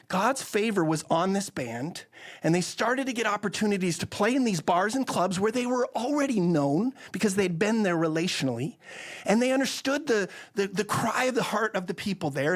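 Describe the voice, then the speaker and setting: Earnest male interview guest. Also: high voice. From Netherlands. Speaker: interview guest, missions organization leader. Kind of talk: conversational testimony and preaching.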